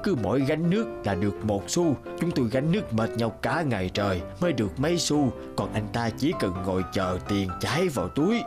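A man voicing a weary, humble old water carrier with a low, hesitant voice in an animated folk tale. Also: brisk, hardly any pauses, over music, natural voice. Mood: deferential, explaining.

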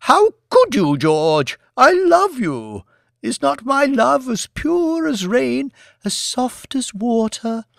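Posh lady wife, way high and slow, gushy melodrama, audiobook style. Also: sing-song, vocal fry, fairly bright tone, dry recording, studio quality, heavily acted. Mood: wounded pleading. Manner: gushing, theatrical, drawn-out delivery. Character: melodramatic, cloyingly devoted aristocratic wife.

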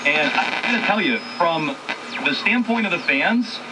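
A man's voice: a little high, animated, unhurried, background noise. A man in conversational sports talk, heard played through an old radio.

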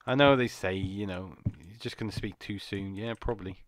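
A man with a slightly croaky voice, rambling and jumpy as he comments on a horror game. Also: fairly low pitch, moderate pace, no echo. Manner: rambling, jumpy, muttering to himself. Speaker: gaming channel host. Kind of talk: casual horror gameplay commentary.

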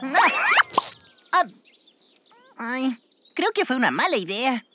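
A woman's voice: sits high, big pitch swings, slow, heavily acted. A woman playing a nervous, possessive young boy with a Latin American Spanish accent in a dubbed children's show. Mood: worried. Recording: loud noise behind.

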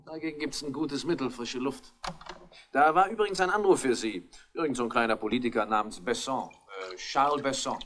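A man's voice: medium pitch, a little fast, fairly bright tone, natural voice. An actor playing a breezy, wry male police inspector and assistant, in a 1960s German radio drama. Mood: wry, matter-of-fact.

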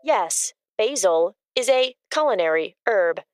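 A woman delivers scripted informational asides as a co-host. She is precise and deadpan, with a clean, crisp mid-pitched voice recorded very dry. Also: a little slow, no echo. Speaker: fact-giving co-host.

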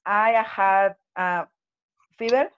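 A Spanish-speaking woman learning English, answering haltingly in an online class. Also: medium pitch, dull audio, slight background noise. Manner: hesitant, halting beginner English. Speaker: student.

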